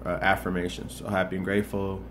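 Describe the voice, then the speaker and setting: Low flat-voiced young man vlogging. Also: quick pace, background noise. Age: 20s. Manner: earnest, rambling, many restarts and repetitions. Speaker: motivational vlogger. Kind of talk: casual motivational monologue.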